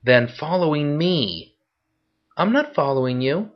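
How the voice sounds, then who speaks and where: A man's voice: on the high side, animated, measured pace, pitched above the performer's own voice. Man doing a sly young woman pickpocket, raised lighter voice, playful, coy, lo-fi sound. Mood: teasing. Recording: dull lo-fi recording.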